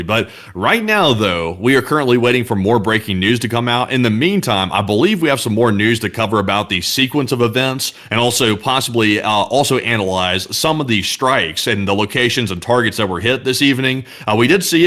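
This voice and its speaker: A man's voice: lowish voice, fairly bright tone. A man hosting a live news commentary stream, talking in a conversational, hedging way with many fillers. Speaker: host.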